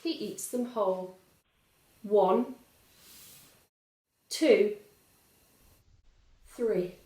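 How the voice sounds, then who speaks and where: A woman's voice: medium pitch, extremely slow, mellow, reverberant, poor audio. A woman reading a bedtime story and hosting for young children, speaking expressively and directly to them. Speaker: storyteller and host.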